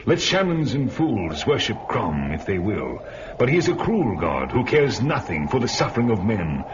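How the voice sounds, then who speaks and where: A man's voice: fairly low pitch, wildly swooping pitch, moderate pace. An actor playing a stern, proud blacksmith father who speaks solemnly to his son. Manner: solemn, declaiming. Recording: dull old radio audio, hiss.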